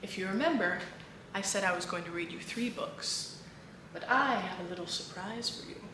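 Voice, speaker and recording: A woman reading children's picture books and poems aloud in an expressive, sing-song way. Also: medium pitch, moderate pace. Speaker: children's book reader. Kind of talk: read-aloud storytelling for children. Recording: echoey room with clear background noise.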